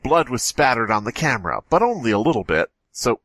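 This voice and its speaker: A man's voice: mid-range voice, very animated, a little fast, fairly bright tone, no echo. A man hosting a podcast, reading a horror story aloud and breaking off with joking asides. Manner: jokey, breaks off to comment. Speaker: podcast host.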